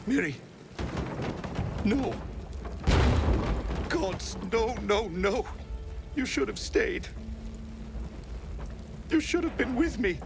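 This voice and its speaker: A man's voice: very high voice, lively intonation, very slow, vocal fry, long pauses, over music, heavily acted. An actor playing a grieving man who blames himself for the loss of someone he loved, speaking in a video game scene. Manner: broken, self-blaming, bitter. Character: grieving man who lost his love.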